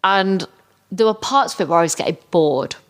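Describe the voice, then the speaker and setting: A woman's voice: on the low side, animated, moderate pace. A woman co-hosting a casual film discussion, agreeably chiming in as she talks. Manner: agreeable, chiming in on her co-host. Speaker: podcast co-host.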